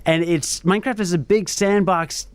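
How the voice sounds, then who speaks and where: A man's voice: high-pitched, steady tone, moderate pace, clean tone, dry recording. A man in his thirties talking eagerly in a casual podcast roundtable, with frequent restarts. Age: 30s. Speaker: podcast panelist. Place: radio studio.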